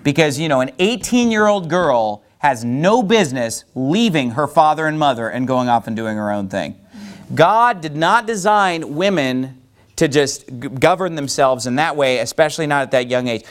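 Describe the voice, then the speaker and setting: A male preacher delivering a sermon with a fairly high voice. His pitch leaps around a great deal as he hammers home his points. The delivery is emphatic and animated throughout. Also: normal speed.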